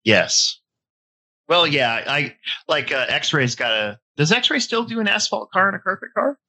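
A man's voice: a little high, big pitch swings, a little slow, pushed and bright. A man in his forties hosting a casual hobby podcast from home, a bit stuffy-nosed, talking in a loose, rambling way. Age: 40s. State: stuffy nose. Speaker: podcast host. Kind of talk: casual chat.

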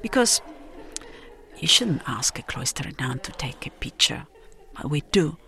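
A woman reading a literary first-person travel story, reflective and dramatic, with pauses between phrases. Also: low-pitched, sing-song, bright, forceful tone. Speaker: narrator.

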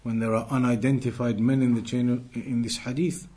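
A man leads a classroom lesson on religious texts, speaking at an ordinary middle pitch. He explains line by line, poses rhetorical questions to his students, and slips into rapid, fluent Arabic whenever he quotes. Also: level tone, dark tone. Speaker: Islamic teacher.

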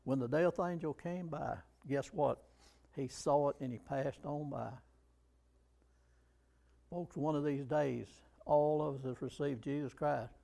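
A male preacher delivering a sermon with a gravelly, slightly raised voice. He speaks very slowly and drives each point home with emphatic rhetorical questions. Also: on the high side, dark tone.

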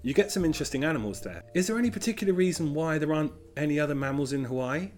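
A man's voice: on the high side, lively intonation, rapid-fire, darkish tone, faint music behind. A man hosting a podcast, reading an upbeat intro and asking curious follow-up questions. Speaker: podcast host. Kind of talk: scripted intro and casual interview questions.

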